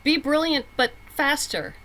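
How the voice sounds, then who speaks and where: A woman's voice: high voice, unhurried, bright, forceful tone, slight background noise. Chatty, candid woman in conversation. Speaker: podcast guest, comic book writer. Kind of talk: casual interview conversation.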